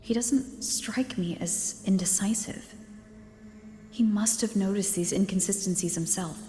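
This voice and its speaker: A woman's voice: mid-range voice, measured pace, a little hiss or hum, close to an everyday voice. An actress voicing a curious young woman adventurer who reasons aloud through clues in an English game dub. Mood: pondering. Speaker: protagonist investigating ruins. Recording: roomy game audio with long reverb. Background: soft music.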